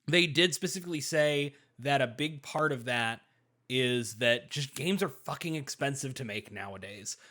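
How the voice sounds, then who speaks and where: A man's voice: mid-range voice, normal speed, pushed and bright. A man talking casually through gaming news as a panelist on a talk show.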